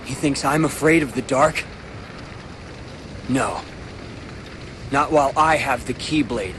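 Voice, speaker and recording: An actor playing a brave young hero in a video game, speaking with earnest determination. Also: male voice, medium pitch, takes it slowly, noisy background, close to an everyday voice. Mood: defiant. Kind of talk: video game cutscene acting.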